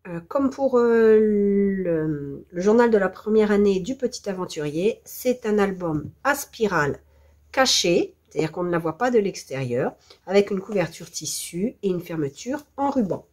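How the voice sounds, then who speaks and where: A French woman with a hoarse voice from the flu, presenting a scrapbooking tutorial in a hesitant, apologetic way. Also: mid-range voice, expressive, normal speed, darkish tone. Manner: hesitant, apologetic, self-deprecating. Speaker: scrapbooking video host.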